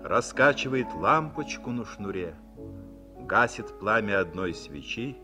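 Male narrator for radio-play stage directions: low, slow, matter-of-fact, music bed underneath. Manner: calm, low-key, matter-of-fact reading. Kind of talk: scripted narration. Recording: 1950s radio broadcast with music behind.

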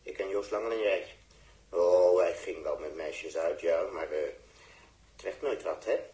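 An actor playing a jealous, hot-headed young spaceship radio operator in a 1960s Dutch radio drama. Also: male voice, low-pitched, measured pace, darkish tone. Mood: accusing. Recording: roomy early 1960s radio recording.

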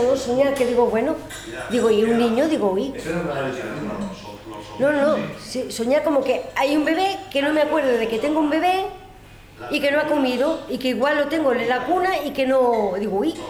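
An echoey recording with clear chatter behind a woman telling a personal story. She is casual, repetitive and emphatic. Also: sits high, a little fast. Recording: echoey room with background chatter.

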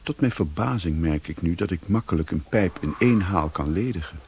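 A man's voice: deep voice, lively intonation, a little mellow, slight background noise. An actor playing a brooding European drifter who narrates his own story in a radio play, introspective and literary in delivery. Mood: brooding, detached. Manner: slow, introspective. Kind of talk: first-person literary radio drama narration.

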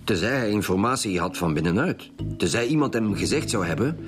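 An actor playing a suspicious, stubborn police detective in a radio drama, with a Flemish accent and a blunt, argumentative manner. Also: male voice, lowish voice, animated, brisk, lightly acted. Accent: Flemish Belgian. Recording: music behind the voice.

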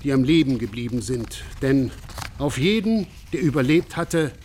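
A man reading a scripted radio documentary narration in a solemn, measured manner. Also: medium pitch, animated, a little mellow, a little hiss or hum, close to an everyday voice.

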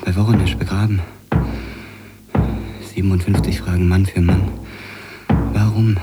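Very low male voice, brooding dramatic reading, heavy music playing loud under him. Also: level tone, measured pace. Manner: intense, brooding first-person delivery with repetitions. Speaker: reader of literary texts. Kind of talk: dramatic literary reading in a radio feature.

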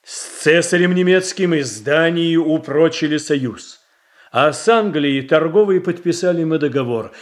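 A self-assured boyar statesman in a radio play, formal n declamatory, really slow, voice on the high side. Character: measured, self-assured statesman boyar defending his reforms. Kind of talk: theatrical verse acting in a radio play.

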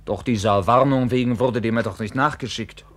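A proud young knight templar played by a man in a classical radio play. He has a medium-pitched male voice and a formal, clipped stage manner. He comes across as brooding and wry. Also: fairly quick, fairly bright tone, lightly acted. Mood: guarded, probing. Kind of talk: classical German radio drama acting.

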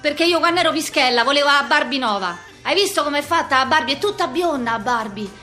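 A woman playing a brash, wounded, childlike working-class Roman street girl in a theatrical monologue, with a slangy, repetitive, excitable delivery and a Roman accent. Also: high-pitched, quick pace, soft background music. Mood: wistful, eager. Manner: slangy, repetitive, excitable, acting out many people.

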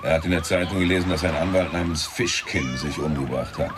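A man's voice: sits low, fairly quick, music in the background, natural voice. An actor playing a laconic, streetwise man in casual radio crime drama dialogue. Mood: dry, casual. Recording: sirens and street noise behind. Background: sirens, city ambience.

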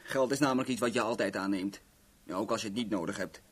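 An actor playing a cocky, talkative young garage owner in a Dutch radio play, rambling and self-assured. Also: male voice, fairly low pitch, fast talker, breathy edge. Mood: nonchalant.

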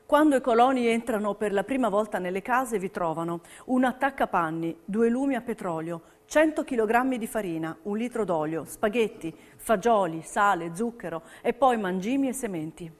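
A woman's voice: mid-range voice, lively intonation, moderate pace, few pauses. A woman giving scripted, theatrical documentary narration for a stage piece. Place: radio broadcast of a theatre piece.

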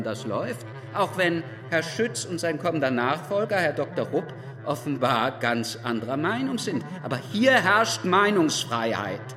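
A man's voice: on the high side, fairly bright tone. An actor playing a self-righteous doctor and parents' spokesman, declaiming emphatically in a pompous, admonishing stage manner. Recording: reverberant hall, faint music behind.

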